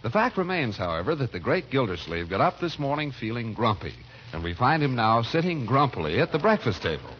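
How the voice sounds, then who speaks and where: A man's voice: mid-range voice, big pitch swings, a little fast, slight background noise. A man announcing and narrating a 1940s radio show from a script. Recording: dull vintage radio recording with music.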